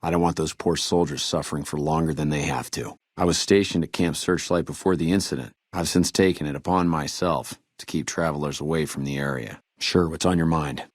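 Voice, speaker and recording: Deep-voiced, weary army trooper. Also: male voice, steady tone, a little fast, few pauses, dry recording, studio quality. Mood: grave, businesslike. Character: weary, dutiful army trooper guarding a ruined camp. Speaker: video game voice actor. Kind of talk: video game dialogue acting.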